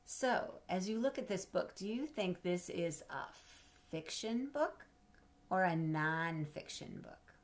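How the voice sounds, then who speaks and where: A middle-aged woman reading a picture book aloud to children, expressively, pausing to explain and ask questions in a teacherly way. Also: fairly low pitch, animated. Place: small indoor home room with bookshelves.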